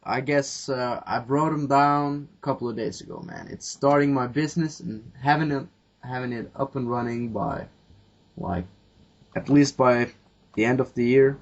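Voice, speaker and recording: A man's voice: medium pitch, normal speed, lo-fi sound. A man hosting a casual podcast, rambling through his plans with lots of fillers and swearing. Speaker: podcast host. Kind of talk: casual chat.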